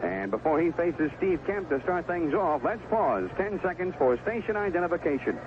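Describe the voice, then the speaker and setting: Male radio baseball play-by-play announcer, animated delivery, narrow phone-like band with clear background noise. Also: a little high, moderate pace. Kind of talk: live radio baseball commentary. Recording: narrowband noisy 1970s AM radio broadcast.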